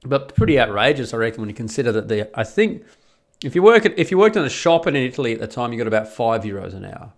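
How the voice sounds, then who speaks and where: A man's voice: mid-range voice, big pitch swings, quick pace. An Australian man talking in a blunt, chatty way, with lots of restarts and the odd swear word, giving advice in a casual monologue.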